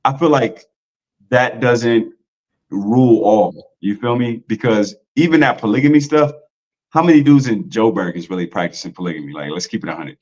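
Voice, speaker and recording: A man's voice: fairly low pitch, normal speed, a little mellow, lo-fi sound. A man in a casual podcast talk, loose and slangy, full of "like" and "you know". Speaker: podcast guest.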